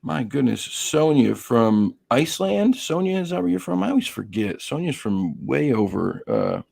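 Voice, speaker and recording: A hoarse man hosting a casual live stream, chatty and greeting viewers by name. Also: mid-range voice, huge pitch swings, moderate pace, a little mellow. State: hoarse, losing his voice.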